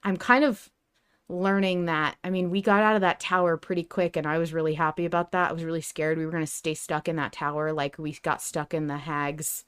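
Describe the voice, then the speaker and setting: A woman hosting a casual podcast discussion about fiction, talking in an animated, emphatic and slangy way. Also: low-pitched, brisk, clean tone. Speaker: podcast host.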